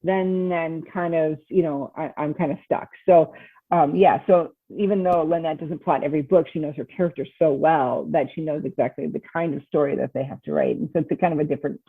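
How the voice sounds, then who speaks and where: A woman's voice: sits low, fairly even intonation, fairly quick, slightly rough, no room sound. Woman on a tinny phone-like line. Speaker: novelist guest on a podcast. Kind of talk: casual interview chat.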